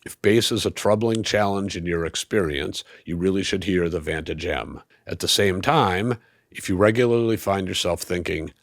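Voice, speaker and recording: Low-voiced man reviewing, dry close sound. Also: no room sound. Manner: measured, analytical, explanatory. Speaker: audio equipment reviewer. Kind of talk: scripted review narration.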